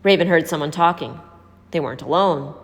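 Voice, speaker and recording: Woman, story reader, lowish pitch, scripted narration, long hall reverb. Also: fairly quick.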